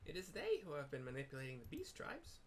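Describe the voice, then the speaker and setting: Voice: medium pitch, huge pitch swings, fast, a little hiss or hum. A man voicing a pompous, secretive little scholar mage who speaks in a formal, curt, scolding way in a fantasy game. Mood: grave, lecturing. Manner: formal, precise, dismissive.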